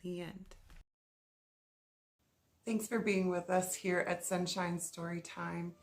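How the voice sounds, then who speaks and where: A woman's voice: fairly low pitch, level tone, takes it slowly, a little hiss or hum. A woman hosting a children's storytime, reading a picture book aloud in a playful, sing-song way and speaking straight to young listeners.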